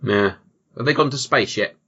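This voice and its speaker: Bright-voiced British man bantering, dull audio. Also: mid-range voice, normal speed, husky. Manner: dry, jokey, sweary. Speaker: podcast host. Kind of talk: casual banter and film chat.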